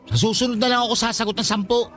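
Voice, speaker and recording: A man's voice: extremely high pitch, steady tone, rapid-fire, a bit bright, soft background music. A man playing a short, insecure, lovestruck young worker in a Tagalog radio romance, sulky and guarded in his talk. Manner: sulky, guarded, self-pitying.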